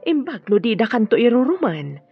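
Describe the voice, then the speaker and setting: An actress with a husky voice and Ilocano accent playing a worried, humble, caring mother in a radio drama. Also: fairly high pitch, sing-song, fairly quick, dull audio, soft background music, exaggerated voice. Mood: worried, advising.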